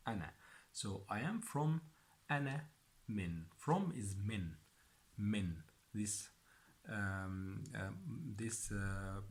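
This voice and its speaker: Patient man repeating phrases. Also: fairly low pitch. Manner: slow and patient, repeats phrases several times. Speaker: Arabic teacher. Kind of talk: language lesson for beginners.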